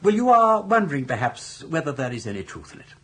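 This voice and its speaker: An actor playing a suave, roguish older gentleman with an upper-class English accent, speaking in flowery, persuasive phrases in a British radio comedy. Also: a little high, sing-song, quick pace. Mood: smooth, confiding.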